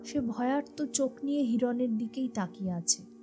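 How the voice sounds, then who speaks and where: A woman's voice: fairly high pitch, normal speed. A woman narrating a scripted horror story in a dramatic storytelling style. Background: music behind the voice.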